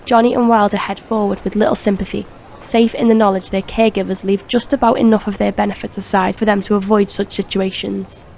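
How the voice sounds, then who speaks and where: A woman's voice: mid-range voice, little pitch movement, a little fast, creaky, darkish tone, slight background noise, poor audio. A woman reading scripted narration for a crime audio drama.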